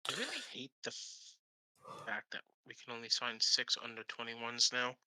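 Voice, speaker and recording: Bright-voiced man making offhand remarks. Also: medium pitch, animated, moderate pace, no room sound, rough recording. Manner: short offhand remarks, dry humor. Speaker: co-host on a game stream. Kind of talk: casual live-stream chat.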